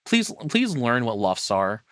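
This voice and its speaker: A man's voice: mid-range voice, wildly swooping pitch, a little slow, a bit bright, dry recording, studio quality. A man streaming a casual, opinionated rant, rambling and self-deprecating as he chats with viewers. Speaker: streamer and VTuber host.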